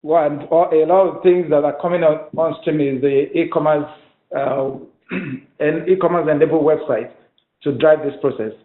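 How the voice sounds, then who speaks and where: Male executive host, slightly high voice, formal and halting, roomy telephone sound. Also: steady tone. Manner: measured, halting, courteous. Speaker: company executive hosting the call. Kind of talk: formal conference call answers. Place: telephone conference call. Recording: roomy, tinny speakerphone line.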